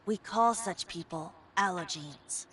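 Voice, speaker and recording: A woman voicing a carefree boyish bard who is secretly an ancient wind god, speaking lyrically and with measured, wistful storytelling in a video game. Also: on the low side, fairly bright tone. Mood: wistful, confiding. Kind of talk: video game character dialogue. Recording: music behind the voice.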